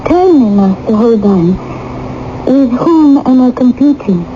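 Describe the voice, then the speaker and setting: A young princess with a high voice and very deliberate pace. She is regal, melancholy and theatrical, heard in a vintage radio drama with dull, slightly noisy sound. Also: expressive. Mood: haughty protest. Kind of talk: 1980s radio drama acting.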